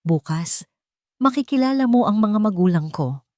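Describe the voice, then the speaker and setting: A woman's voice: mid-range voice, animated, moderate pace, warm tone, no echo, clean studio sound, pitched above the performer's own voice, close to an everyday voice. A woman voicing a reserved young man, a wealthy CEO who speaks plainly and directly as if giving orders. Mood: curt. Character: reserved young male rich CEO, cool and commanding.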